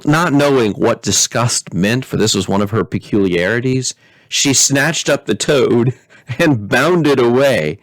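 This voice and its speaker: Male storyteller, mid-pitched, expressive and deliberate fairy-tale reading, close dry recording. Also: dry recording, low-quality recording. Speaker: pastor reading stories aloud.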